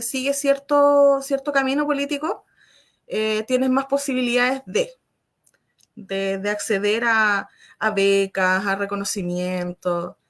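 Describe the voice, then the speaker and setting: A Chilean woman speaking as a guest writer in a live interview, explaining her views in a measured, thoughtful way. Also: a little high.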